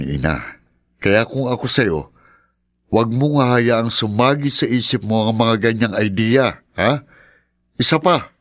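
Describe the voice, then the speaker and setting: A man's voice: medium pitch, dry recording, studio quality, natural voice. A man playing a kind but firm fatherly uncle in a Filipino radio drama, with a Filipino accent. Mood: stern advice. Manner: paternal, firm, reassuring.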